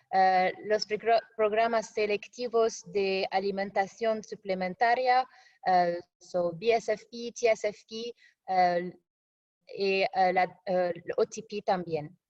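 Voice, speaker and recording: Woman, non-native speaker, slow, hesitant slide presenter, dull lo-fi call audio. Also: medium pitch. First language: not Spanish. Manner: halting, frequent fillers and self-corrections. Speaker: webinar presenter.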